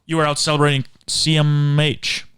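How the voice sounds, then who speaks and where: A man's voice: on the high side, completely flat delivery, moderate pace, fairly bright tone, clean tone, no room sound. A man co-hosting a podcast, chatting casually in a goofy, teasing, jokey way. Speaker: podcast co-host. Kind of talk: casual chat.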